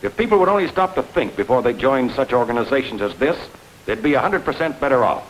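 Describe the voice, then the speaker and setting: An actor in a 1930s film playing a world-weary, scornful government boss giving a lecture, on a hissy old soundtrack. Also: male voice, mid-range voice, expressive, fast talker, lightly acted, poor audio. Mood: stern, scornful. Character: world-weary post office boss lecturing on gullible joiners.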